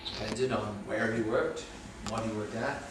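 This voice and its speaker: Stage drama: man as unrepentant Nazi officer, clipped and formal, recorded from a distance with clear background noise. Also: lowish voice, fairly even intonation, unhurried, close to an everyday voice. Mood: matter-of-fact. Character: unrepentant former Nazi camp commandant on trial, matter-of-fact. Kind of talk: staged play reading. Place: indoor room at a live staged reading, distant mic. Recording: very echoey, noisy room, distant mic.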